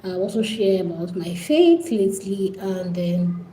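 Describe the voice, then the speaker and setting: A woman's voice: medium pitch, animated, unhurried, mellow, echoey room, a little hiss or hum, low-quality recording. A Nigerian woman hosting a casual live stream, pleading and repetitive as she talks straight to her viewers.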